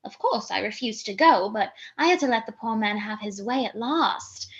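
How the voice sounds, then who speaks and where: A woman's voice: a little high, lively intonation, normal speed, lo-fi sound. An actress playing a flighty, self-important young lady in a theatrical comedy, arch and declamatory. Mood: grandly confiding. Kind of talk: theatrical radio comedy monologue and dialogue.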